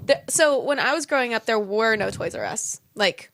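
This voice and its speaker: A woman's voice: a little high, animated, moderate pace, fairly bright tone, slight background noise. A woman in her twenties from Hawaii, chatting casually on a podcast and rambling through her childhood story with fillers. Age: 20s. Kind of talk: casual chat.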